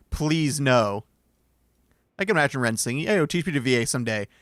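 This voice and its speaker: A man's voice: mid-range voice, animated, moderate pace, fairly bright tone, dry recording. Man, streamer, laid-back chatter with laughs and swearing, dead-dry sound. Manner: reads game lines aloud, laughs and swears. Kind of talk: casual chat over a game.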